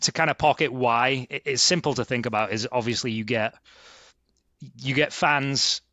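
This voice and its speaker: A young man with a Yorkshire English accent, chatting as a podcast guest. His voice is bright and forward, and he tells his stories in a relaxed, self-mocking way. The recording is close and dry, with no room sound. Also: medium pitch, moderate pace, no echo. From England, Hull in East Yorkshire. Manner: easygoing, self-deprecating storytelling. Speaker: guest, club group sales manager. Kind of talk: conversational podcast interview.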